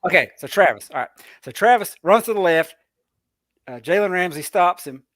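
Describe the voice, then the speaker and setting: A man talks through his take on a sports podcast, thinking out loud and doubling back on his words. His voice sits a little high for a man. The sound is dry, with no room around it. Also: animated, moderate pace, dry recording. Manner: rambling, repeats words while thinking. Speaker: podcast panelist. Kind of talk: casual sports chat.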